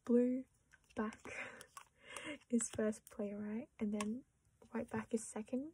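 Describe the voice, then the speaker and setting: A young Australian woman chatting quietly to camera while sorting her collection, often dropping into a half-whisper and poking fun at herself. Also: on the high side, fairly even intonation, takes it slowly, slight background noise, rough recording. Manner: soft-spoken, often half-whispering, self-deprecating. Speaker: collecting vlogger. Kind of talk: casual chat while sorting cards.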